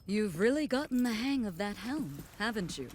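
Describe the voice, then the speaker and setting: A woman playing a proud, protective warrior in a video game, husky and stately, with a motherly assurance. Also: medium pitch, very animated, moderate pace, darkish tone, a little hiss or hum, lightly acted. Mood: fond. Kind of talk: video game cutscene acting. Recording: faint music behind the voice.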